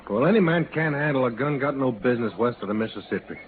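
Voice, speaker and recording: A man's voice: mid-range voice, expressive, fast, natural voice. Guy acting a proud folksy outlaw, old cowboy radio show, scratchy and tinny. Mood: defiant, scornful. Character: proud, defiant male Western outlaw, a new father. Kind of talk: 1950s Western radio drama acting. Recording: scratchy, noisy, narrow old radio recording.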